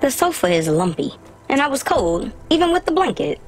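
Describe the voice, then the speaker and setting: An actress voicing an eager, chatty young child who is anxious to please, in a video game. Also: female voice, high voice, sing-song, a little fast, rough recording. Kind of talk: video game character acting.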